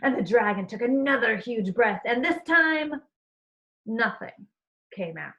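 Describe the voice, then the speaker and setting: Slow, animated woman reading a storybook. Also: fairly high pitch, lo-fi sound. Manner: animated, slow, addressing young listeners. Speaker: teacher reading aloud. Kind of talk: expressive children's storybook read-aloud.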